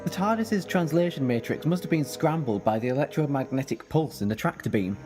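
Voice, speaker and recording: An eccentric ancient alien adventurer acted by a man, flippant yet protective. His voice sits fairly high for a male and jumps about in lively swings, with soft music underneath. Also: fairly quick, close to an everyday voice. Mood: flustered. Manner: chatty, animated, flippant. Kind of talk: fan sci-fi audio drama acting.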